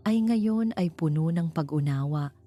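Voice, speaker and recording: A woman narrates a scripted story. Her voice is low and soft-edged, with a mellow tone, and music plays underneath. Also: lively intonation, measured pace. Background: background music.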